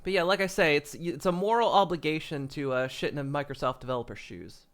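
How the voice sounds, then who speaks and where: A man with a slightly raised voice chats to his stream audience while clearly congested and stopped up. He rambles, laughs often, and trips over his own sentences. He sounds like he is talking into a desk mic at a home setup. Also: fairly high pitch, quick pace, a bit bright. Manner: rambling, frequent chuckles and restarts. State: congested, stuffy nose. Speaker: livestreamer. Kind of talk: casual stream chat. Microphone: desk microphone.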